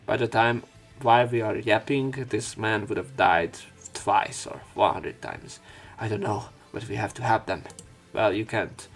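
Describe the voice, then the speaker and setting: A man's voice: fairly low pitch, a little slow, gravelly, hardly any pauses. A man reading a visual novel aloud as a let's play, breaking off into casual, irreverent commentary with some swearing. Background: game music.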